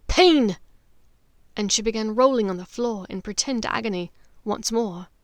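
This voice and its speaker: A woman's voice: mid-range voice, a little fast, dry recording, studio quality. A woman reading dramatic fiction as an audiobook narrator.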